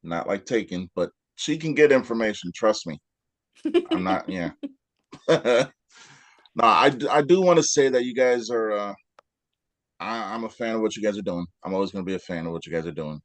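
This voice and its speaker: A man's voice: fairly low pitch, expressive, normal speed, no echo. Rambling male podcast host. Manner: rambling, many fillers. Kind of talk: casual interview.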